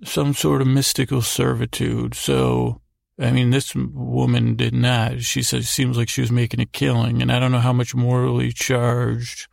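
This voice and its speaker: Guy doing a rambly bedtime podcast, super creaky flat voice, keeps stumbling and wandering off track. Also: medium pitch, no room sound, studio quality. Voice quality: heavily creaky, gravelly. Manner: meandering, halting, digressive. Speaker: podcast host.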